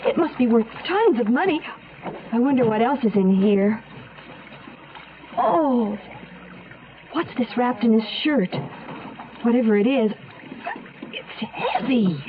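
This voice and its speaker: An actress playing a bold, nosy but frightened young granddaughter in a radio drama, breathless and urgent. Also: female voice, a little high, expressive, slow, long pauses. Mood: urgent, curious. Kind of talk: old-time radio drama acting. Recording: noisy muffled old radio recording.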